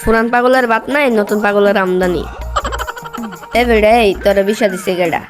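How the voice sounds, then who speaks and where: Local bully guy in a cartoon played by a woman, boastful, threatening, fast, music behind. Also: mid-range voice, close to an everyday voice. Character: swaggering male neighborhood big-brother tough. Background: cartoon background music.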